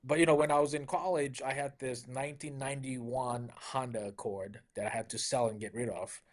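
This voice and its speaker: A man's voice: mid-range voice, fairly even intonation, brisk. Upbeat man from Malaysia telling stories. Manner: anecdotal, upbeat, frequent "you know" fillers. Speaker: car dealership owner, guest. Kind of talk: conversational interview storytelling.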